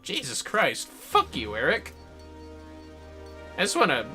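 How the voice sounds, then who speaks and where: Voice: mid-range voice, wildly swooping pitch, slow, sharp tone, soft background music. A gamer giving casual livestream commentary in a playful, reactive, sweary way. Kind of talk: casual game-stream commentary.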